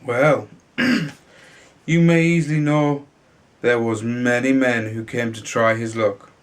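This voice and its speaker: A man reading a children's fairy tale aloud in a halting way, sometimes stumbling and repeating words. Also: mid-range voice, steady tone. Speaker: storybook reader.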